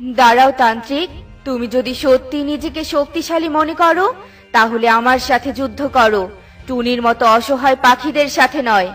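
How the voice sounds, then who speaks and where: A kind yet commanding fairy from a children's cartoon, acted by a woman. She speaks with calm authority in a pitch lower than the narration, over light music. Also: moderate pace. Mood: stern. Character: kindly but firm female fairy with magic powers.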